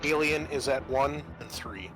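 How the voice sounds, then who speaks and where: A man acting as game master, narrating a tabletop roleplaying session in a halting, improvised way with many fillers. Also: mid-range voice, a bit bright, soft background music, low-quality recording.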